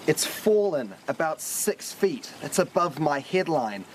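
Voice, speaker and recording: A man's voice: fairly high pitch, big pitch swings, unhurried, a little hiss or hum, poor audio. A man with a New Zealand accent reporting for television news from out in the field. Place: outdoors on location by damaged roads.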